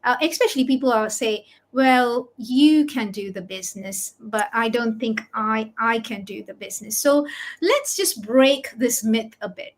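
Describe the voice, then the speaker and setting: A woman's voice: fairly high pitch, measured pace. A middle-aged woman hosting a live promotional talk on an online video call. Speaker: host, online business coach.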